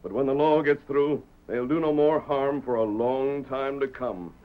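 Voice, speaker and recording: An actor playing an earnest, authoritative American masked hero in a measured 1930s radio western style. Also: male voice, medium pitch, fairly even intonation, natural voice. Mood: calm, earnest. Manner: measured, declarative explaining. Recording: muffled, faintly noisy 1930s radio recording.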